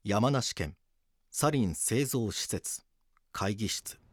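Stern detective, lowish male voice, dry close sound, measured, serious, drama narration. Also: expressive, no room sound. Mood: grave. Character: stern male police detective narrating, gruffly tender husband. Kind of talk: scripted documentary-drama narration and acting.

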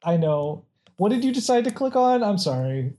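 A man's voice: high-pitched, very animated, moderate pace, dark tone. A man casually chatting and reading out odd facts, rambling with many restarts and frequent laughs.

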